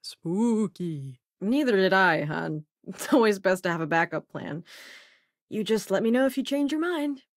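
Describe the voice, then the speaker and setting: A woman's voice: mid-range voice, expressive, measured pace, dry recording, clean studio sound. An actor, a young woman, voicing a clever, wry and self-assured renegade scientist who crews a spaceship in a video game. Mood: dry, casual.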